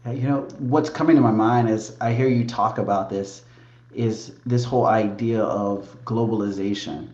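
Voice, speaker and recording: Male podcast host, halting questions, roomy muffled sound. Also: lowish voice, steady tone, a little mellow. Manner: halting, with many fillers. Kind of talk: interview questions.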